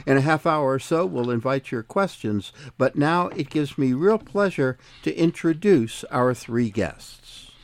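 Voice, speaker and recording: A man hosting a panel discussion, introducing topics and guests in a measured, formal way. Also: mid-range voice, animated. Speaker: host.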